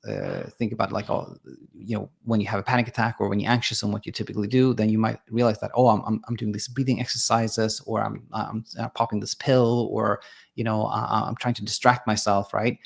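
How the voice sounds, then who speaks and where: Swedish-native guy, gravelly voice, coaching talk, lots of restarts and um's. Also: fairly low pitch, little pitch movement, a little fast. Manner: hesitant, many restarts and fillers; reads viewer comments aloud. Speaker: sleep coach. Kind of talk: explanatory monologue.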